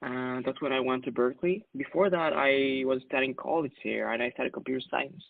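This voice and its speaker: A man from Ecuador, in his thirties, talks through his story in a loose, easygoing way, full of fillers and quick laughs. His voice has a gravelly edge. The sound is dry and narrow, like a phone line. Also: mid-range voice, dry recording. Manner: relaxed, chatty, laughs often, many fillers. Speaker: guest, music producer. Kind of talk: casual interview answers.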